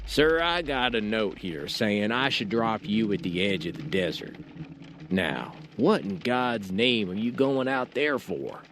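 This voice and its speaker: An actor playing a rustic, superstitious carriage driver in an audio drama, with folksy, fearful warnings. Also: male voice, on the low side, lively intonation, unhurried, noisy background. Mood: wary warning. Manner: folksy drawl, agitated, warning. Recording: clear music behind the voice.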